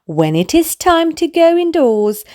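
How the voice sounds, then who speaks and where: A woman narrating a children's bedtime story in an expressive way, speaking to small children. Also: high-pitched. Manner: animated, slow, clearly addressed to small children. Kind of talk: expressive read-aloud bedtime story for toddlers.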